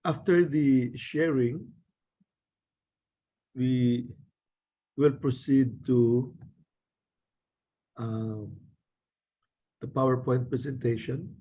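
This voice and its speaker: A man, a priest, moderating an online session over a video call with calm, unhurried cues. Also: mid-range voice. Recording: dull lo-fi video call audio.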